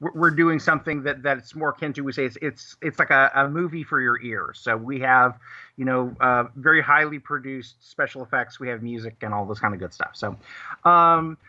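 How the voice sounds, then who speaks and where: A man being interviewed on the radio as a guest, explaining things in a halting, casual way. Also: mid-range voice, sharp tone, highs cut off. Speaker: guest, audio drama producer and writer.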